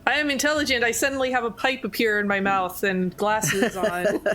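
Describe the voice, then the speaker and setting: A woman's voice: medium pitch, steady tone, brisk, bright, forceful tone. A woman chatting casually as a player in a tabletop roleplaying game.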